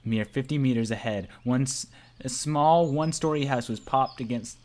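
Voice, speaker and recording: A man's voice: medium pitch, moderate pace, darkish tone. A young man reading visual novel narration aloud in an amateur, dramatic way, as narrator of a let's play.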